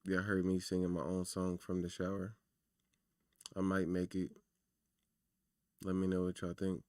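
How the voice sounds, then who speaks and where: A man with a low, naturally raspy voice hosts a casual livestream, talking loosely and warmly to his viewers. His sound is dry and close, with no room echo, as if picked up by a desk mic at home. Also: completely flat delivery, measured pace. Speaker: livestream host.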